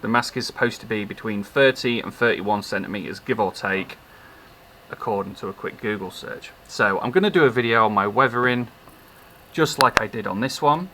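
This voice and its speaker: A man talking casually and haltingly in a low-key, unscripted showcase of something he made. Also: pushed and bright, a little hiss or hum. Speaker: maker showing his work.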